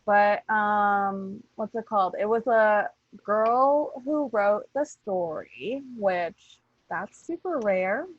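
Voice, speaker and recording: A woman's voice: medium pitch, takes it slowly, no echo. A woman podcast host chatting casually and playfully with swearing, on slightly dull online-call audio. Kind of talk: casual chat.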